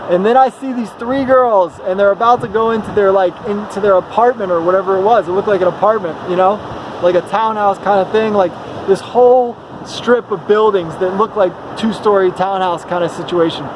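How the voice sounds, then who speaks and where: A male travel vlogger with a quite high voice rambles through a personal story. He is casual and slangy, acting out the dialogue as he goes. There is clear outdoor or vehicle noise behind him. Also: a little fast. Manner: animated, slangy, acts out dialogue.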